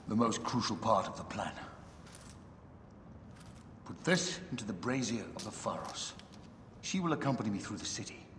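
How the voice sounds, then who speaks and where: A man's voice: on the low side, bright, forceful tone, full character voice. An actor playing a proud, ambitious Roman general in his fifties, commanding and grandiose, in a game cutscene. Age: middle-aged. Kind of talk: dramatic video game cutscene acting. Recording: echoey reverberant hall, faint background noise.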